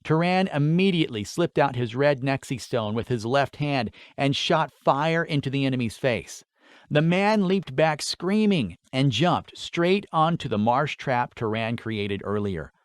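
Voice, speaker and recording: A man's voice: mid-range voice, animated, a little slow, smooth, no echo, studio quality. A man narrating a scripted fantasy story as an audiobook reader.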